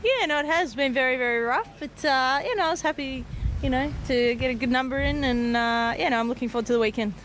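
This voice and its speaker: Young Australian woman, fairly high voice. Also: fast, a bit bright, slight background noise. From Victoria, Australia. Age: young adult. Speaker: professional golfer. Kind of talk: casual sports interview. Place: outdoors at a golf course.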